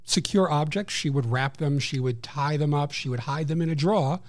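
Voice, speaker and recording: A man with a New York accent, speaking as a guest in a measured and informative way with a slightly raised pitch. He lays out services step by step and restarts a phrase now and then. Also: a little mellow. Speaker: program coordinator. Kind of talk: guest interview, explaining services.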